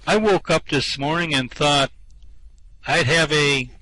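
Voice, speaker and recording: A man's voice: a little high, slow pace, background noise. A man playing a flustered, indignant ordinary earthman who complains plaintively in a comic sci-fi radio play. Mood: aggrieved, plaintive. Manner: plaintive, aggrieved, protesting.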